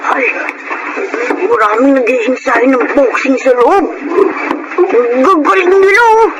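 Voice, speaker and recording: An earnest Filipino schoolboy speaks in an extremely high voice, stammering shyly and respectfully. It is radio drama acting, with distinct noise in the audio. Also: slow. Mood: wistful. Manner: hesitant, deferential, stammering. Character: earnest, polite schoolboy who dreams of boxing.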